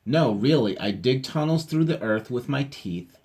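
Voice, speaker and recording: A man's voice: medium pitch, expressive, moderate pace, a little mellow, pitched above the performer's own voice, close to an everyday voice. A man voicing storybook animals who explain their odd features in a proud, matter-of-fact, slightly put-upon way. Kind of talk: children's storybook read-aloud.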